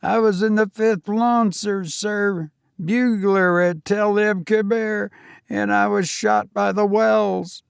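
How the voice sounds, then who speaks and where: A man voicing a nervous, deferential young Cockney servant with rough working-class speech. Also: high-pitched, fairly even intonation, takes it slowly, no echo, studio quality, voice pushed up, exaggerated voice. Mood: uneasy.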